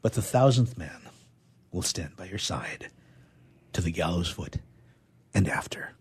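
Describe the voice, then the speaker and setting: A man, a radio theatre player, giving an expressive reading of verse. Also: low voice, measured pace, breathy edge.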